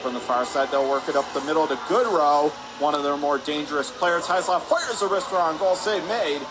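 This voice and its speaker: High-pitched man calling live hockey. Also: a little fast. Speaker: play-by-play commentator. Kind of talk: live radio ice hockey play-by-play. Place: indoor ice hockey arena. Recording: noisy arena ambience behind.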